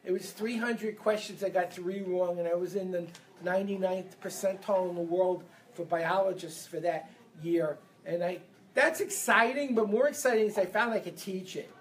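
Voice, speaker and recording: Energetic New man leading a seminar. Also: high-pitched, moderate pace. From Brooklyn, New York. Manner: energetic, leads audience call-and-response. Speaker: seminar speaker. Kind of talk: live motivational seminar talk. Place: event room with a live audience.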